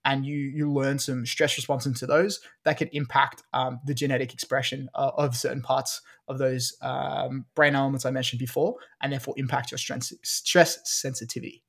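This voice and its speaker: A man's voice: medium pitch, flat delivery, normal speed, gravelly, few pauses, a bit bright. A man with an Australian accent, co-hosting a casual science podcast and explaining research with frequent fillers. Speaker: podcast co-host.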